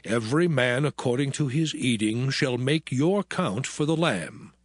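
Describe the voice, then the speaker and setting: A man playing the God of Israel as a solemn, commanding lawgiver in a dramatized scripture reading, with measured, declarative delivery. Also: medium pitch, lively intonation, no echo. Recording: background music throughout.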